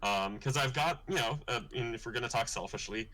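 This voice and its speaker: A young man with a bright, forceful tone talks casually on his livestream. He explains his art in a thoughtful, rambling way, with plenty of fillers. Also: brisk. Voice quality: deep voice. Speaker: comic artist hosting his launch stream.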